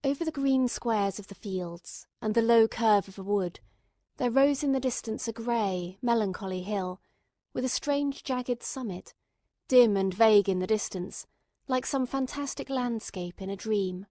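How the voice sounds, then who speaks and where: A woman's voice: fairly high pitch, unhurried, warm tone, no room sound, studio quality. A woman reading scripted storybook narration as an audiobook narrator.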